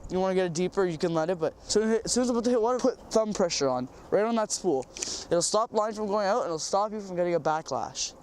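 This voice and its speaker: Young male host, stuffed-up from a cold; high, loose, repetitive casual tutorial delivery. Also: lively intonation, fast, slight background noise. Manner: rambling, repeats words, says um often. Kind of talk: casual how-to demonstration. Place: outdoors by a small farm pond.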